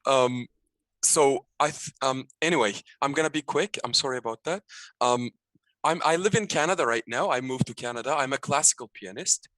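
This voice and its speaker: A man's voice: medium pitch, brisk, fairly bright tone, dry recording, rough recording. A Turkish man calling in over a video call, talking in hesitant, apologetic bursts with lots of restarts, English not his first language. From Turkey. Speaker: caller. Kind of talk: nervous call-in chat.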